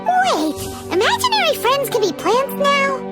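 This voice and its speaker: A woman voicing a tiny childlike fairy companion in a video game, excitable, easily spooked and nosy, squeaky and exclamatory. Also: a little slow, exaggerated voice. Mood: indignant. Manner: refers to self in third person, exclamatory. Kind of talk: video game character acting. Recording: music behind the voice.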